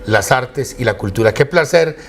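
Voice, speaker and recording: A Colombian man with a medium-pitched voice speaks as an interview guest. He tells his story conversationally yet formally, with eloquent, elaborate phrasing, and some faint ambient noise sits behind him. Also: lively intonation, normal speed. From Quindío, Colombia. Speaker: interview guest, academic and radio station director.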